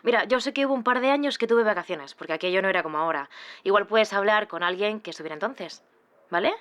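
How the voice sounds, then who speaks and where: Female TV producer, middle-aged, played by an actress: medium pitch, matter-of-fact, dismissive, conversational. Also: quick pace, a bit bright, lightly acted. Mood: condescending. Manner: colloquial, matter-of-fact, slightly condescending. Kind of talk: acted interview answers.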